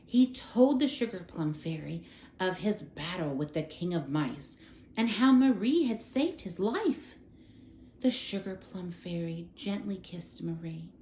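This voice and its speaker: A woman reading a children's story aloud as a storyteller, in an expressive, dramatic style. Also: fairly low pitch, a little slow, a little hiss or hum. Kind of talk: scripted children's storybook narration. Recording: roomy, echoing room sound.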